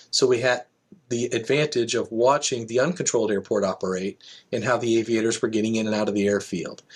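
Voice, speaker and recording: Middle-aged man, mid-pitched, conversational podcast guest. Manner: explanatory, illustrates with examples and quotes. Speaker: guest, air traffic control manager. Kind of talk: conversational interview, anecdotal.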